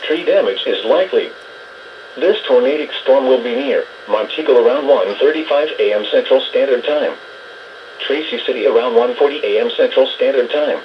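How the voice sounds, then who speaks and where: A synthesized man's voice reading scripted emergency weather warnings as an automated announcer, in a flat, measured delivery over weather radio. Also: medium pitch. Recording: muffled, noisy weather radio broadcast.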